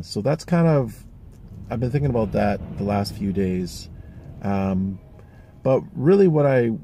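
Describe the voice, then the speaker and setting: A man's voice: on the low side, mellow, husky. A man in his forties talking casually and reflectively to camera, rambling with many fillers and restarts. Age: 40s. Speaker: vlogger.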